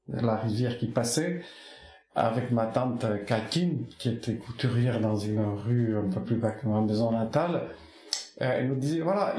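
A man from the Basque Country whose first language is Basque, talking slowly and poetically in an interview. He keeps restarting his phrases as he searches for images. His voice sits at an ordinary pitch and carries a roomy echo around it. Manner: soft-spoken, poetic, halting with many restarts. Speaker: artist interviewed. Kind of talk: reflective reminiscing interview.

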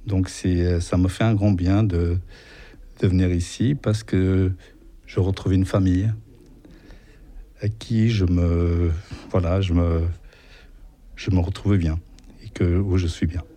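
A man from Portugal speaks French with a Portuguese accent. His voice is low and mellow. He answers haltingly, often stopping to search for words. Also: steady tone. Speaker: radio volunteer. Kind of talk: interview answers.

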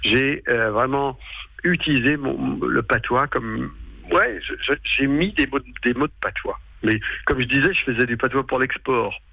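Male stage performer, sixties, native patois speaker. Gravelly, bright voice, hesitant and colloquial interview talk. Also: mid-range voice, moderate pace, no echo, poor audio. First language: western French patois. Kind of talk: casual radio interview.

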